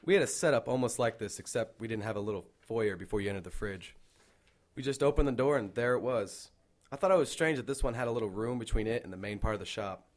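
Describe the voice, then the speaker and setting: A man's voice: on the low side, quick pace, lightly acted. An actor playing an earnest young rookie policeman with a matter-of-fact manner in an audio drama. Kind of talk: radio drama acting.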